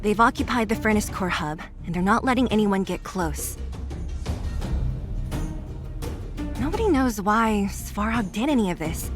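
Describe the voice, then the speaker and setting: A woman's voice: medium pitch, expressive, normal speed, natural voice. A woman voicing a tough, blunt, confident young fighter in a video game, wry and self-assured. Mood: grave, wry. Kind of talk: video game cutscene acting. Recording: light music behind the voice.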